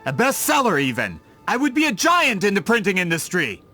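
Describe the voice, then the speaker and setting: A vain, scheming merchant from a video game. His voice is high and sharp, swinging widely in pitch with pompous, theatrical indignation. Light music plays behind him. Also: wildly swooping pitch, a little fast, full character voice. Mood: bitter. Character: scheming, vain male merchant with grand business ambitions.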